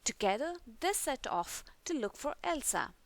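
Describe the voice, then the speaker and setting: A woman reading a children's story aloud in an expressive, deliberate storytelling manner. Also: high voice, dry recording. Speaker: storybook reader.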